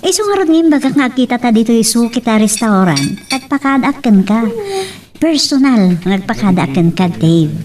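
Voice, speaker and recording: A woman's voice: on the high side, animated, normal speed, darkish tone, far from the mic, natural voice. An actress playing a dutiful young woman in love in an Ilocano romance drama. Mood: gentle, reassuring. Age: young adult. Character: young woman, recent college graduate, dutiful daughter in love. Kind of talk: Ilocano radio-style romance drama acting.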